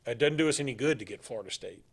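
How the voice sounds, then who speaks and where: A man's voice: medium pitch, lively intonation, rapid-fire, gravelly, husky. A middle-aged man, co-hosting a casual sports talk show, rambling and opinionated with a joking edge.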